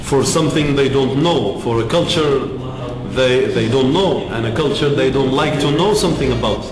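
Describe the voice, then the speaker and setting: A man from Africa answering interview questions in a measured way with many pauses. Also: mid-range voice, roomy sound, long reverb tail, over music.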